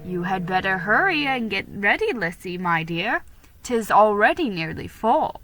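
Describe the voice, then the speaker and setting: A woman reads the part of a colonial father in a storybook, gentle and earnest. The delivery is slow and fond, in a bright voice with clear noise behind it. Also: medium pitch, big pitch swings, rough recording. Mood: pleased, fond. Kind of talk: dramatic storybook reading.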